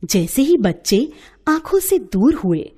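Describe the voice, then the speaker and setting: A woman storyteller giving an expressive scripted narration of a bedtime story. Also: fairly high pitch, slow, breathy edge.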